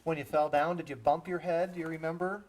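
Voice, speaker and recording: A man's voice: fairly high pitch, steady tone, quick pace, background noise. A man working as an EMT, talking a child patient through each step simply and reassuringly. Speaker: EMT with a rescue squad.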